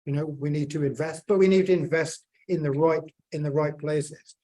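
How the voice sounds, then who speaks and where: A man's voice: on the high side, steady tone, normal speed, mellow. A man, speaking at a panel as a plant scientist, hesitant and full of fillers and restarts. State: croaky voice. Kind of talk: unscripted panel remarks.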